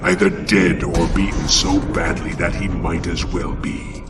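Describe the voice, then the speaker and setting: A man's voice: deep voice, little pitch movement, distant mic, over loud music. A man playing a bitter, vengeful half-angel, half-demon outcast in a theatrical, sardonic video game cutscene monologue. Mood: seething, vengeful. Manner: theatrical, brooding, deliberate, sardonic.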